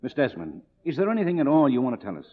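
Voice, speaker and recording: An actor playing a brusque homicide captain, clipped and insistent, in 1950s radio drama style. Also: male voice, medium pitch, huge pitch swings, very fast. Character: brusque police homicide captain questioning a suspect. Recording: narrow, reverberant old radio broadcast.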